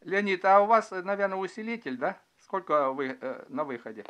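A man chatting casually as an amateur radio operator in a halting, hesitant way, recorded indoors at his desk. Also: sits high, animated, muffled recording, noisy background. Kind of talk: casual ham radio chat, asking questions.

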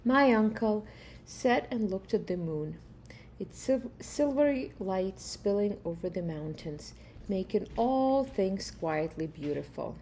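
A middle-aged woman reading a children's story aloud in an expressive storytelling style, with deliberate phrasing. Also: mid-range voice, slight background noise. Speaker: reader of a children's picture book. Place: room at home, indoors.

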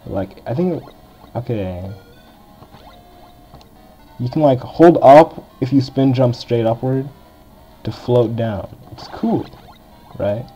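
A young man with a deep voice giving casual, rambling commentary while playing through a retro video game. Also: takes it slowly, pauses often, dark tone. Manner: chatty, rambling, filler-heavy. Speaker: game walkthrough host. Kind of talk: casual let's-play commentary. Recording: dull lo-fi game recording. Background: faint game music.

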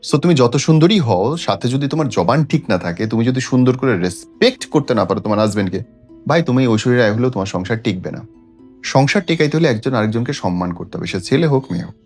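A man's voice: mid-range voice, expressive, fast, a little mellow. A man with a Bangladeshi accent, a host giving opinionated relationship advice in an emphatic, preachy way with rhetorical questions. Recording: music playing behind the voice. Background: background music.

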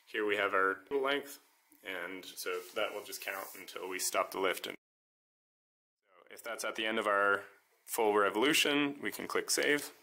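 A man calmly walking through how his homemade device works, step by step, in a voice-over demo. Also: on the low side, moderate pace, low-quality recording. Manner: calm, methodical step-by-step explaining. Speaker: maker demonstrating his device.

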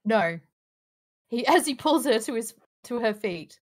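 A woman's voice: fairly high pitch, animated, unhurried. Woman reading out screenplay directions. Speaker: narrator of stage directions in a table read. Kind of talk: live script read-aloud. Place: online video call.

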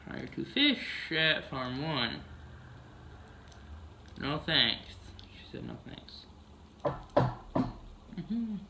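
A man's voice: a little high, big pitch swings, extremely slow, vocal fry, pauses often, bright, forceful tone, a little hiss or hum. A man casually narrating a farming video game, humming "mm-hmm" between steps, recorded on a camera across a room. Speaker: gameplay narrator.

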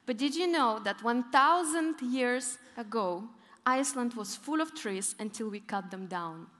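A woman's voice: fairly high pitch, unhurried. A young woman giving a conference keynote on stage, telling stories and leaning hard on her key points. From northern Europe, Arctic region. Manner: storytelling, lively emphasis on key points. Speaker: keynote speaker. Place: large conference hall on stage. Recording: long hall reverb.

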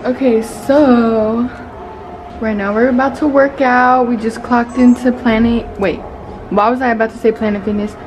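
A woman's voice: on the high side, fairly even intonation, normal speed, background noise. Chatty young woman vlogging on her phone. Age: young adult. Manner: chatty, crude and playful. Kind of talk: casual vlog chat. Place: car, thrift store and gym. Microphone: handheld phone camera.

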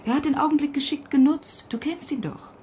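A woman playing a composed, measured psychologist at ground control in a radio drama. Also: high voice, dark tone, slight background noise, lightly acted. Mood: calm, advising. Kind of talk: German radio drama acting.

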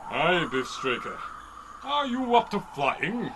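Proud falcon-man chieftain, high and slow, declamatory radio adventure serial, noisy backdrop. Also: big pitch swings, heavily acted. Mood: grand, proud. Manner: grandiose, declamatory. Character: boisterous proud winged falcon-man warrior leader. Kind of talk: old-time radio adventure serial acting.

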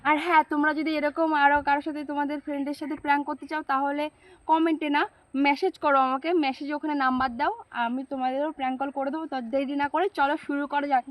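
A woman host opening a prank call video, addressing viewers in a brisk, chatty way. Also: high-pitched, level tone, dry recording, poor audio. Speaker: channel host.

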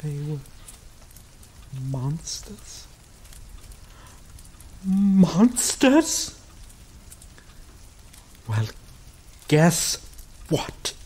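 A woman playing a grieving, possessive vampire in a dramatic ASMR roleplay, speaking haltingly and emotionally with long pauses, vengeful and pleading. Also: rumbling low, warm tone, breathy edge, heavily acted. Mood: accusing grief. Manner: halting, slow, emotional delivery with drawn-out pauses.

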